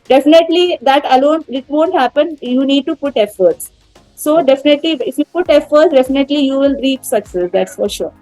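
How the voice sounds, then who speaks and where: An Indian woman in her thirties talks earnestly as an interview guest, her voice high and warm. She pauses often with fillers and restarts her sentences. Faint music sits underneath. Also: dry recording, rough recording. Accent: Indian English. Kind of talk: casual interview talk.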